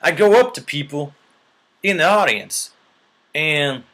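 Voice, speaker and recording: A man's voice: a little high, lively intonation, takes it slowly, a bit bright. Earnest rambling male storyteller. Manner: earnest, rambling, keeps asking "right?". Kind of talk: rambling mock-serious storytelling.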